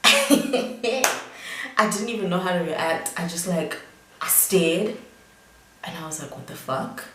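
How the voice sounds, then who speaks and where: A woman's voice: on the low side, animated, slow, pauses often, pushed and bright, echoey room, recorded from a distance, slight background noise. A young South African woman, a little tipsy, chatting casually as she tells a story during a makeup vlog, rambling with plenty of fillers. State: tipsy from drinking. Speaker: beauty vlogger.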